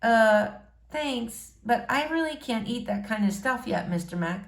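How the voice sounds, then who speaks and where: A woman's voice: fairly high pitch, expressive, measured pace, vocal fry. A woman voicing a reluctant, wry young schoolboy in a storybook read-aloud, hesitant and understated in manner. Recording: roomy, echoing room sound.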